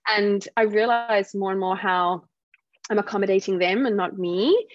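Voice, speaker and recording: A woman from South Africa with a softened South African accent, a guest telling stories in a casual interview and acting out what other people say. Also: mid-range voice, moderate pace, no room sound, highs cut off. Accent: South African, softened by years in the UK. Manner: animated storytelling, acts out other people's remarks. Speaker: guest speaker.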